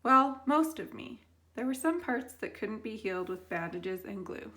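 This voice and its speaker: A woman's voice: mid-range voice, animated, moderate pace, pushed and bright. Woman reading a children's story aloud, expressively.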